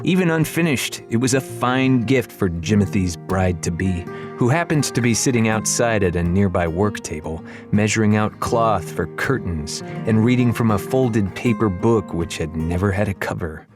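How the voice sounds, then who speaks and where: A man's voice: on the low side, expressive, moderate pace. Wry male audiobook storyteller over music. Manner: wry, conversational, addressing the listener directly. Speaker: audiobook narrator. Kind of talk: storytelling narration of a children's fantasy novel.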